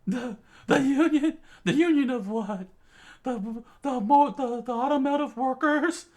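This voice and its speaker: A man's voice: very high-pitched, measured pace, a little mellow, voice pushed up. A woman voicing a nervous, dramatic young man who stammers when flustered.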